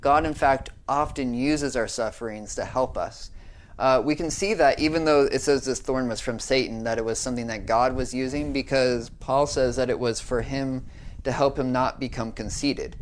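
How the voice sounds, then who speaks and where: American man, young adult, devotional talk, earnest, conversational, mid-pitched voice. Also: moderate pace. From United States. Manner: earnest, conversational, often asks "right?".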